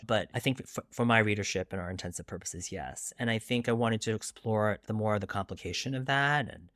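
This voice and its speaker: A man's voice: mid-range voice, a bit bright, dry recording, studio quality. A man in his late forties answering interview questions conversationally as a debut novelist, reflective and a little self-deprecating.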